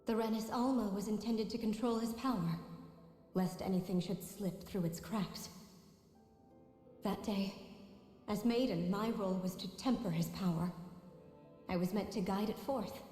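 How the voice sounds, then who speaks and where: A woman's voice: mid-range voice, dark tone, lightly acted. Echoing, remorseful young maiden, acted by a woman. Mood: solemn, haunted. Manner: solemn, measured, regretful. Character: remorseful young female maiden with prophetic visions, solemnly confessing. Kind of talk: dramatic video game monologue. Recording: long echoing reverb over background music.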